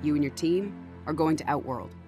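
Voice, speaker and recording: A man's voice: on the high side, a little fast, music in the background, close to an everyday voice. A man playing a no-nonsense military commander in a video game, giving curt orders. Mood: brisk, stern.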